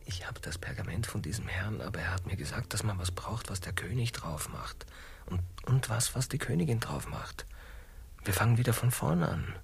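An actor playing a naive, eager young adventurer seeking favours in a satirical radio play, deferential and a little hesitant. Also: male voice, on the low side, sing-song, normal speed, creaky, husky, in a whisper, full character voice. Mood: puzzled, hesitant.

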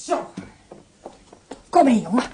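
An actress playing a brisk, commanding farm mother in a radio drama. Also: on the high side, big pitch swings, warm tone, a little hiss or hum. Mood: firm, commanding.

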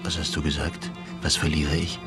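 An actor in a German radio drama playing an ailing, sardonic, dreamy writer with a wry, volatile manner. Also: male voice, rumbling low, level tone, normal speed, over loud music. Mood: musing, wry. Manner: wry, volatile, swinging from murmurs to outbursts.

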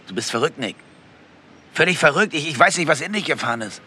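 An actor playing a brash, scornful, wealthy husband in a German radio play, speaking in a brusque, defensive and emphatic way. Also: male voice, on the high side, expressive, quick pace, bright, forceful tone, slight background noise.

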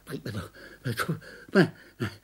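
A woman's voice: unhurried, lightly acted. An actress playing a delirious, terrified woman who is suffocating in a fever, gasping out broken, pleading phrases over and over. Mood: panicked. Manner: pleading, panicked, repeating words. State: out of breath. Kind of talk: dramatic acting.

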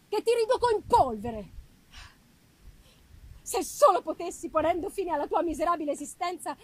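A woman as an enraged, high-pitched gothic creator. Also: dry recording, heavily acted. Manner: loud, vehement, exclaiming. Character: enraged, horrified male creator cursing his monster. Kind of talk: dramatic audiobook-style reading of a gothic novel.

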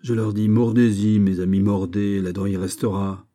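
A man playing a gruff, middle-aged musketeer captain in an audiobook, blustering and mocking, with fatherly sarcasm. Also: fairly low pitch, level tone, normal speed, dark tone, natural voice. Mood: sardonic scolding. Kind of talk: dramatised audiobook of a historical novel.

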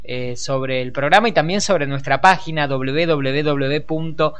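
An Argentine man with a Rioplatense accent hosting a conversational radio news show, improvising with frequent hesitant pauses. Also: fairly high pitch, fairly bright tone, clear, resonant tone, highs cut off. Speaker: radio host.

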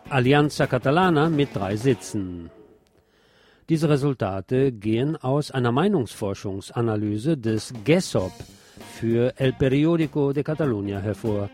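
A man's voice: medium pitch, darkish tone. A man presenting radio news, reading scripted reports and adding brief asides of his own.

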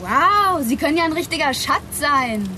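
A woman's voice: high voice, expressive, moderate pace. An actress playing a blunt, impulsive young woman in a radio drama, whiny, slangy and childishly defiant. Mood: brash. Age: young adult. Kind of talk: naturalistic radio drama acting.

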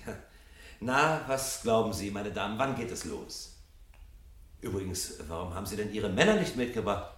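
An actor playing a bitter, restless husband in a dystopian radio drama from 1970, with sardonic, pointed delivery. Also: male voice, lowish voice, animated, normal speed, fairly bright tone. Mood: sardonic, challenging. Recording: roomy, slightly distant, faintly noisy radio recording.

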